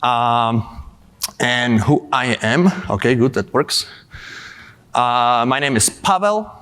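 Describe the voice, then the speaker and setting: A man's voice: mid-range voice, slow, a bit bright, clear, resonant tone. Czech-born man in his 30s with a cold; casual, filler-heavy conference speaker. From Czech Republic. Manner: casual, many fillers and asides. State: has a cold. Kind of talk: tech conference talk.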